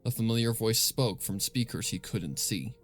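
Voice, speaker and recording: A man narrating horror fan fiction in a grave, deliberate, scripted dramatic style. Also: fairly low pitch. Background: music.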